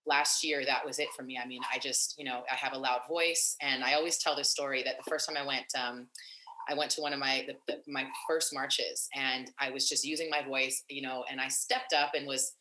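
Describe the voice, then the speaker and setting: A young woman activist talking in an interview, animated and long-winded with many fillers and restarts. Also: extremely low pitch, a little fast, echoey room, rough recording. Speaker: activist and nonprofit founder. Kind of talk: casual interview conversation.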